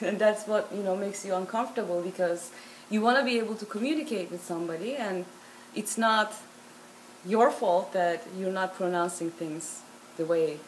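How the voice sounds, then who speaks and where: A woman's voice: mid-range voice, slight background noise, rough recording. Woman. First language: Turkish. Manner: candid, conversational. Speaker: interviewee. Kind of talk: casual interview answers.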